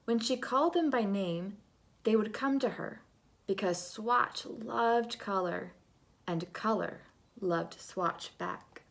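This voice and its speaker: A woman reading a picture book aloud to children in an expressive, dramatic way, moving between hush and big swells. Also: medium pitch, slow pace, vocal fry. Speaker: storybook reader.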